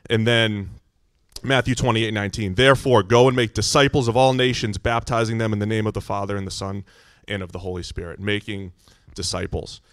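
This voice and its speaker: Low, booming American man giving church testimony. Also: steady tone, fairly quick, dry recording. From Massachusetts, United States. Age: middle-aged. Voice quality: loud, booming voice. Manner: informal, conversational storytelling. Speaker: visiting church speaker. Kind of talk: personal testimony to a congregation.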